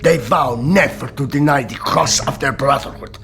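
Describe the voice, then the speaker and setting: An actor playing a patient, shrewd sultan in a radio drama, speaking in a measured, stately way. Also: male voice, mid-range voice, vocal fry, a bit bright, soft background music. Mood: reasoning.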